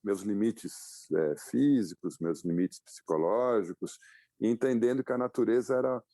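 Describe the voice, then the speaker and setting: A man in his fifties from Brazil with a very low, gravelly voice and a dark, mellow tone. He recounts his story in a reflective way, pausing on fillers and restarting his sentences. The recording is dry and close. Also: no room sound. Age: 50s. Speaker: guest, publisher and adventurer. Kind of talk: casual interview storytelling.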